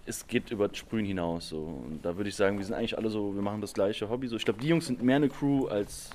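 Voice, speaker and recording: A man guesting on a vlog outdoors under a bridge, talking in a relaxed, slangy, rambling way. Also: on the low side, quick pace. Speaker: graffiti artist guest. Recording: outdoor noise behind.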